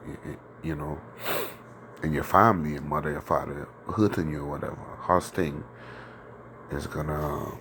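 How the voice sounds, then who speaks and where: A man teaching an informal scripture lesson, hoarse from a sore throat, talking in a loose, conversational way with many fillers and tag questions. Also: extremely low pitch, a bit bright, slight background noise. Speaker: teacher of a religious group.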